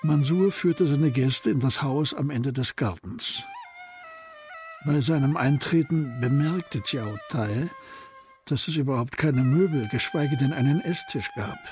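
A man's voice: mid-range voice, huge pitch swings, measured pace, a little mellow. A man narrating a literary radio drama as a scripted storyteller. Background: faint music.

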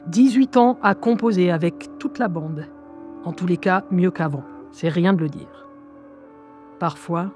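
A woman's voice: mid-range voice, a little mellow, close to an everyday voice. An actress playing a wry young woman who hears voices, reading her diary in a radio drama with ironic, confiding asides. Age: young adult. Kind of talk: radio drama first-person monologue.

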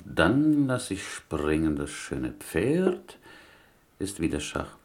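In a radio drama, a gentle, wily butcher from Poland. His voice is low and gravelly. He muses and rambles piously, turning his sentences around in a Yiddish-style word order. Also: sing-song, slow, full character voice. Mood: sly, polite. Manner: inverted Yiddish-style syntax, musing, rambling storyteller. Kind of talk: German radio play acting.

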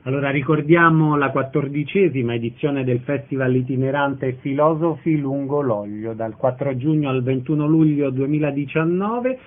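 A man's voice: medium pitch, normal speed, few pauses. A man hosting a radio interview, recapping details and signing off with his guest. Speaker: radio host. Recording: thin phone-like narrowband sound.

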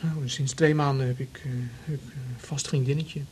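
An actor playing a shy, troubled young man, speaking haltingly in a naturalistic radio drama. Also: on the high side, steady tone, a little mellow, husky. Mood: bitter, unhappy. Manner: hesitant, halting. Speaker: patient in a radio serial.

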